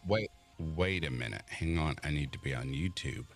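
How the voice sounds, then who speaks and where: A man with a voice he calls made for radio, a podcast guest telling his story in an animated way and acting out what others said. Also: a bass voice, moderate pace, no room sound, soft background music. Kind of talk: casual chat on a podcast.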